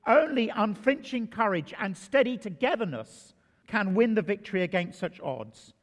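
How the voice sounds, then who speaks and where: A man's voice: high voice, expressive, moderate pace. A man preaching a sermon in a church, telling stories and stressing key phrases with emphasis. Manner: storytelling, emphatic. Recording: long hall reverb.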